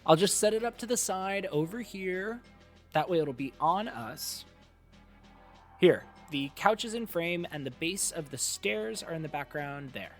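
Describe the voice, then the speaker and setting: Man playing eager, geeky male student, high-pitched, casual and sweary, audio drama with music behind. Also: animated, unhurried. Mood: explaining. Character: young male student, foul-mouthed, eager geeky friend.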